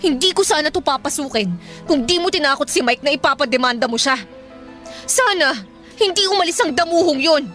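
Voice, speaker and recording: A woman's voice: high-pitched, lively intonation, a little fast, fairly bright tone, faint music behind. A woman playing an irritable, defiant elder sister and hard-up single mother in a radio drama, scolding and bluntly sarcastic. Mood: resentful, scolding.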